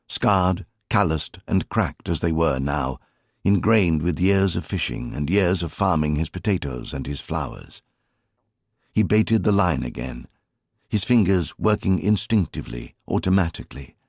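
Male audiobook narrator, very low, husky, expressive storytelling, animated intonation, dry sound. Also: no room sound.

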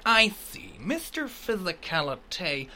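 A woman's voice: fairly low pitch, very animated, slow pace, sharp tone, a little hiss or hum, exaggerated voice. A woman playing a formal, pressing courtroom lawyer in a comedy sketch. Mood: formal, probing.

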